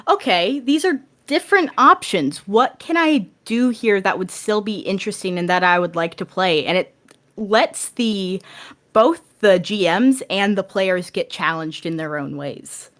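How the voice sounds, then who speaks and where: A woman's voice: mid-range voice, measured pace. A woman speaking as a podcast guest, explaining things in a casual, informal and encouraging way.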